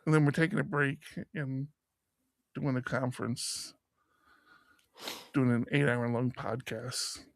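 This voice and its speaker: A man's voice: medium pitch, takes it slowly, long pauses, no room sound. A man in his fifties co-hosting a podcast, telling stories in casual chat and acting out the voices of people he quotes. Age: 50s. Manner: animated storyteller, acts out quoted voices. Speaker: podcast co-host.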